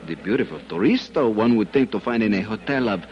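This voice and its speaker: An actor playing a courtly, smitten Panamanian police captain with a Latin American Spanish accent, speaking ornate, halting English in 1950s radio drama style. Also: male voice, on the low side, lively intonation, lightly acted. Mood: helpful, hesitant. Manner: halting, ornate foreign English with frequent hesitations. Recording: muffled old radio broadcast.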